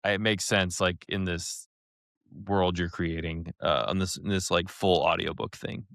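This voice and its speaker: A man co-hosting a podcast, chatting casually in a halting, rambling, joking way. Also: deep voice, fairly even intonation, creaky, a bit bright, dry recording, clean studio sound. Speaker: podcast co-host reviewing an audiobook. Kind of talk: casual review chat.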